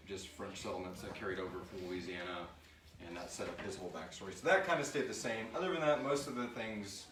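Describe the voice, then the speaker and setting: A man hosting an informal, jokey live Q&A as a podcast creator, reading questions and chatting through the answers. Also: low-pitched. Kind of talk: casual live Q&A chat. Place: room with group on live stream. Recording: roomy, distant mic, noisy live stream.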